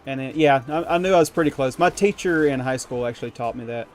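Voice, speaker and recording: A man's voice: mid-range voice, fast talker, soft background music. A man from the United States chatting with his viewers while gaming, rambling and chuckling and poking fun at himself. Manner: rambling, chuckling, self-deprecating. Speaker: streamer.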